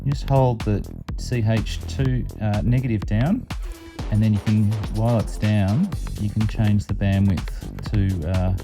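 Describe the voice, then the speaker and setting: A man's voice: lowish voice, steady tone, unhurried, over music. A man giving an informal, unscripted step-by-step product demonstration as a hobby reviewer.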